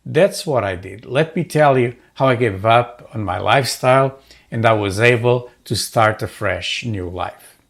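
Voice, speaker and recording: Gravelly-voiced man from Portugal narrating. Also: mid-range voice. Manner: earnest, measured personal testimony. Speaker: author narrating his own book. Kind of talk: book narration.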